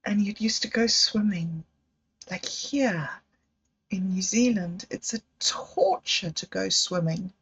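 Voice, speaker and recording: A woman who grew up in Zimbabwe, telling rambling personal stories on a livestream with frequent laughs and trailing pauses. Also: mid-range voice, breathy edge. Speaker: livestream host. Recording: dull lo-fi sound.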